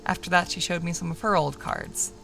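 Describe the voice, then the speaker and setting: A woman streamer reading a visual novel aloud as narration, expressive, sometimes stumbling and correcting herself. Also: on the low side, brisk, vocal fry, faint music behind.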